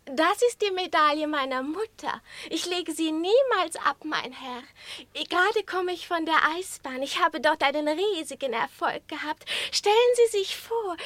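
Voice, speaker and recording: A woman's voice: very high voice, sharp tone, no echo, heavily acted. A woman playing a tiny, vain young lapdog in a German radio play, speaking in an affected, coquettish manner. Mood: boastful, affected. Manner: affected, coquettish, self-admiring.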